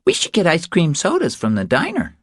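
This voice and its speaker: A bright, plucky little schoolgirl voiced by a male narrator in a thriller audiobook. Her pitch is lifted far above the narrator's and swings wildly up and down, in a dry recording. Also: huge pitch swings, fairly quick, dry recording, exaggerated voice. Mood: pleading.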